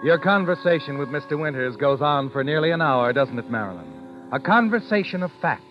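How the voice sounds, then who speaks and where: A man's voice: fairly high pitch, lively intonation, brisk, dull audio, lightly acted. A man playing an ominous, insinuating narrator of old radio suspense, addressing the guilty listener directly with pointed questions. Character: ominous male narrator needling the guilty woman. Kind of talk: second-person 1940s radio suspense narration. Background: dramatic music.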